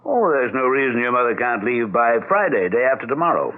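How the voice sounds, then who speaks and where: Didactic doctor, frank and very expressive in pitch, vintage radio mystery with thin phone-like sound. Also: male voice. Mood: frank, reassuring. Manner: didactic, deliberate. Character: learned, frank doctor explaining telepathy. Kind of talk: 1970s radio drama acting.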